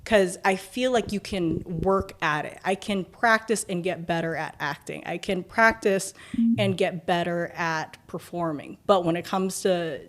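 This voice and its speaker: Young woman podcast guest, deeper voice, lively delivery, keeps it casual. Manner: animated, acts out quoted remarks with swearing.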